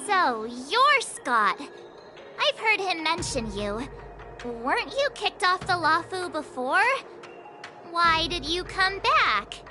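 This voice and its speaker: Game role: curious young girl, extremely high voice, unhurried delivery, direct questions, music clearly behind. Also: very animated, full character voice. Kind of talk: video game voice acting.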